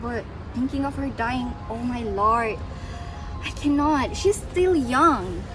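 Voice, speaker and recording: A woman's voice: fairly high pitch, lively intonation, slow pace, over music. A woman playing a chatty, deferential working man in a stage play, rambling hesitantly. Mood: earnest. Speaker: stage actor. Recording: noisy recording with background ambience.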